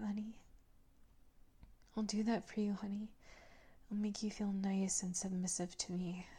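A woman playing a domineering, cooing character in an intimate adult roleplay, mostly whispering in a breathy, coaxing, condescendingly tender manner. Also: medium pitch, mellow. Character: domineering, cooing woman who soothes and commands an adult man.

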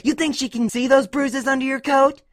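A woman voicing a bullying, hot-tempered adult female cartoon pony, loud and scornful in a dramatic story reading. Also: high voice, steady tone, normal speed, close to an everyday voice. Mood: menacing. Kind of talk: dramatic fan-fiction character acting.

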